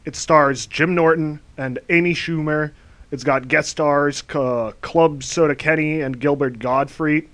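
A man's voice: fairly high pitch, fairly even intonation, a little slow, fairly bright tone. A man giving a sarcastic commentary as a video essay host, dry and mocking.

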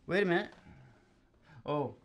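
Rambling, slow-paced older man chatting casually. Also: fairly high pitch, animated, a bit bright. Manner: rambling, chuckling, self-interrupting. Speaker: musician hosting a live acoustic show. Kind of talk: casual, joking chat between songs. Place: small wood-panelled room, indoors. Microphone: stand microphone, seated with guitar.

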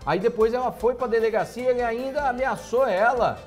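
A middle-aged man banters at a studio desk in a relaxed, joking way, bringing up each celebrity item. Clear background music runs under his voice the whole time. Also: very high-pitched, fairly quick. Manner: laid-back, joking, reads the news items. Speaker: TV gossip segment co-host.

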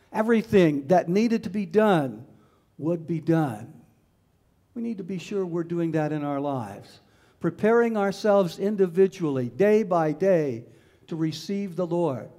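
An older man preaching a sermon as pastor in a large church sanctuary, in a measured way with repeated phrases, on a headset mic. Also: sits high, mellow.

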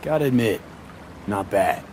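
A man's voice: on the low side, lively intonation, unhurried, background noise. A man playing a cool, laconic mercenary hero in a video game, casual and up for anything. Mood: relaxed.

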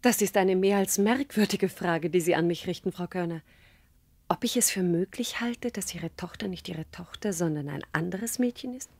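Formal, low-voiced schoolteacher lady. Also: moderate pace, a little mellow, dry recording. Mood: incredulous. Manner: formal, precise. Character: formal, astonished, prim female schoolteacher. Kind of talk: German radio play acting.

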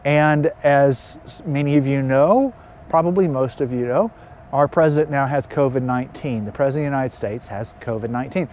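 A man in his fifties speaking as a pastor, giving announcements and gentle instruction in a measured, halting way, outdoors. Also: mid-range voice, slight background noise. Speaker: pastor leading an online worship gathering. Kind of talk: spoken announcements and pastoral address. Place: outdoors beside a river.

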